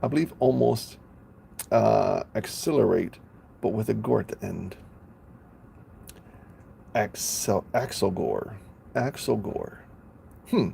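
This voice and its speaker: A man's voice: fairly low pitch, slow pace, creaky, long pauses, mellow, slight background noise. A man chatting casually on a live stream, playful, laughing often and stumbling over names.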